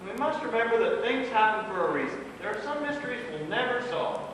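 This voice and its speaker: A man's voice: very high-pitched, big pitch swings, fast talker. A man acting a folksy, earnest father who rambles like a storyteller in an amateur stage play. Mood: earnest storytelling. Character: folksy father spinning grim storm tales, earnest and nosy. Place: small theatre stage, recorded from the audience. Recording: echoey theatre stage from far off, noisy.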